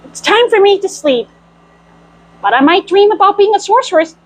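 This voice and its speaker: Very high-pitched, playful woman storyteller. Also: expressive, a little slow, a little hiss or hum, poor audio. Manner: childlike playful role-play as a cartoon mouse girl. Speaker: storyteller in costume. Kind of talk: in-character storytime reading and chat.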